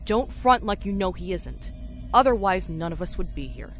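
An actress playing a composed, principled Jedi squad leader in an audio drama, calm and commanding. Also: female voice, mid-range voice, moderate pace, soft background music, lightly acted.

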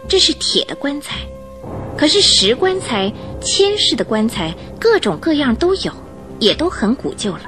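Female storyteller for a mystery radio play, expressive and animated, mid-pitched, over a music bed. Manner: expressive, suspenseful. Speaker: narrator. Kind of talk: dramatic scripted storytelling for radio drama. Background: music under the voice.

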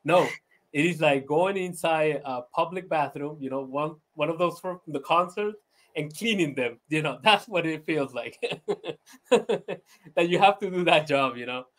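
A man's voice: a little high, measured pace, fairly bright tone. Laid-back Venezuelan-born man, chatty. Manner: laid-back, laughs often, many "you know" fillers and restarts. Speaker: podcast guest. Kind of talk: casual interview chat.

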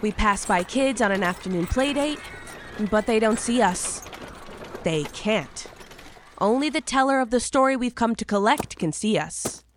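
A woman's voice: fairly high pitch, lively intonation, a little slow, a little hiss or hum. A woman playing a curious, impatient young apprentice, narrating in the first person from a script. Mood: eager, hushed wonder. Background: music.

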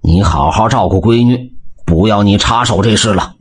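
A man voicing a blunt, hot-tempered burly village blacksmith in a storybook tale, speaking gruffly with a raspy voice. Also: on the low side, normal speed. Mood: easygoing.

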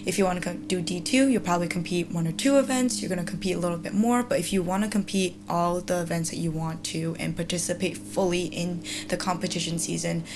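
Hesitant young woman with a hum behind. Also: lowish voice. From China. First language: Mandarin. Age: 20s. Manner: many hesitant ums, rambling. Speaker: student athlete guest. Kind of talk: casual interview answers.

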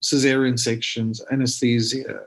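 A man with a South African accent, a rural doctor being interviewed, talking casually with many pauses and restarts. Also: medium pitch, level tone. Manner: hesitant, many ums and restarts.

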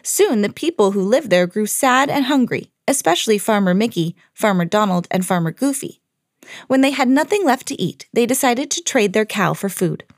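A woman storyteller hosting a podcast, reading a children's fairy tale expressively with clear enunciation. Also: medium pitch, expressive, normal speed, no room sound, studio quality.

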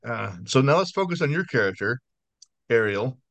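A man's voice: mid-range voice, expressive, moderate pace, fairly bright tone, no echo, highs cut off. A man hosting a horror podcast interview over a video call, chatty and apologetic, often restarting his sentences. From United States. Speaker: podcast host.

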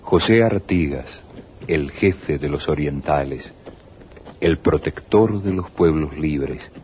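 A man with a very deep voice narrates an old radio drama slowly and gravely. His style is literary and solemn, over a clearly noisy recording. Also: a little mellow, highs cut off. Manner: grave, measured.

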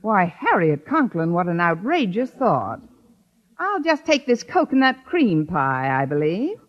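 An American actress playing a wry, scheming schoolteacher with dry, sardonic quips in a 1950s radio sitcom. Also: female voice, fairly low pitch, sing-song, a little slow. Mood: sly, wry. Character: wry, scheming schoolteacher woman with a quick quip. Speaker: lead character and narrator. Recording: muffled old radio broadcast.

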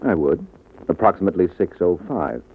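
An American actor playing a wisecracking, sardonic private detective in 1940s radio style. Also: male voice, sits low, very animated, a little fast, breathy edge, heavily acted. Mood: smooth, probing. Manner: sardonic, slangy. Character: wisecracking, cool-headed male private detective. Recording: tinny band-limited old radio broadcast.

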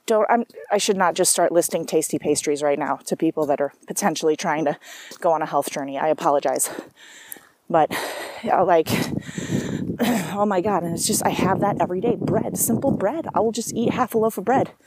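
A woman's voice: lowish voice, moderate pace, a little mellow, slightly rough. A woman talking casually to her camera, rambling from thought to thought with many asides and restarts. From South Africa. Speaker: vlogger. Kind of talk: casual personal monologue.

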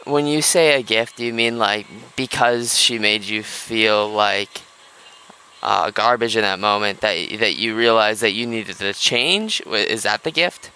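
A man's voice: on the low side, unhurried, bright, forceful tone, clear, resonant tone, low-quality recording. A man hosting a podcast, asking curious, probing follow-up questions. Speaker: podcast host. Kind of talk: casual interview questions.

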